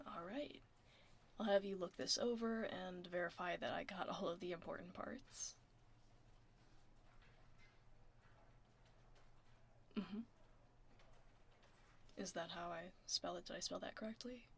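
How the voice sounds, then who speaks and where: A woman playing a laid-back tomboy werewolf office admin in a soft-spoken, partly whispered ASMR roleplay, casual and understated, close to the mic. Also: on the low side, normal speed, gravelly, slightly rough. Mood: calm, businesslike. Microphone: close binaural microphone. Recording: faint noise, keyboard typing. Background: computer keyboard typing.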